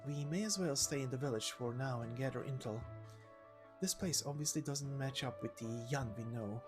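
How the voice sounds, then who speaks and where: A man's voice: mid-range voice, moderate pace, over music, close to an everyday voice. A man voicing a wary, street-smart fighter from a game story, speaking in a blunt, clipped, matter-of-fact manner. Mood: guarded, dry. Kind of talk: video game story voice acting.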